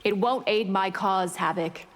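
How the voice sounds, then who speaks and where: A woman's voice: mid-range voice, measured pace, lightly acted. Woman playing a haughty vampire, reverberant. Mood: haughty, cool. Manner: cool, disdainful. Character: haughty, predatory female vampire, coolly menacing. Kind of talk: fighting game character dialogue. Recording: long reverb.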